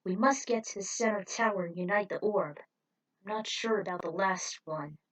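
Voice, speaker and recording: Woman reading as a space squad leader, husky, firm, decisive, authoritative, storybook adventure orders. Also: medium pitch, moderate pace, recorded from a distance, lightly acted, low-quality recording. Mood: resolute.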